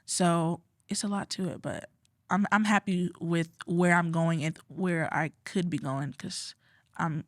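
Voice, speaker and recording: A teenage girl, a high school senior, chatting as a guest in an interview and rambling with lots of "like" and restarts. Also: fairly even intonation, unhurried, no echo, studio quality. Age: teens. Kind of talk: casual interview chat.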